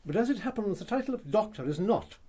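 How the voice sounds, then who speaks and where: A celebrated old English detective in a radio drama. He is courtly and self-satisfied, speaking in a high, very animated voice with formal, theatrical precision. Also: fast. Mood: self-assured, showing off.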